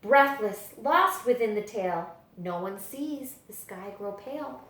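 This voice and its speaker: A woman reading children's picture books aloud as a story-time librarian, with dramatic, expressive storytelling. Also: medium pitch, measured pace. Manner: dramatic, animated storytelling delivery. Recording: echoey room.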